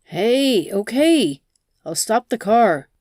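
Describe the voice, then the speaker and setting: A woman's voice: on the high side, very animated, slow, darkish tone, no echo, studio quality, pitched above the performer's own voice, exaggerated voice. A woman narrator voicing a casual male driver who talks in a reassuring way, in an audiobook. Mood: alarmed. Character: easygoing male driver, cocky, turning nervous.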